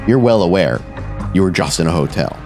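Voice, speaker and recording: Low-voiced man narrating a horror game. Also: expressive, moderate pace, vocal fry, a little mellow. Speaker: game master, storyteller. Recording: music behind the voice. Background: background music.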